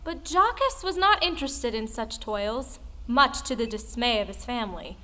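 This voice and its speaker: A woman's voice: on the high side, lively intonation, normal speed, pushed and bright. An actress playing a female storyteller, narrating an old folktale in an audio play. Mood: storytelling. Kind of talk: scripted dramatic folktale narration. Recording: music behind the voice. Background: music.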